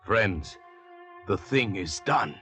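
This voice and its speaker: A man's voice: low voice, lively intonation, slow pace, pushed and bright, faint music behind, full character voice. A man playing a suave, calculating French convict doctor in 1940s radio drama, speaking in an urbane, condescending, articulate way. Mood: suave, self-satisfied. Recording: restored 1940s radio recording.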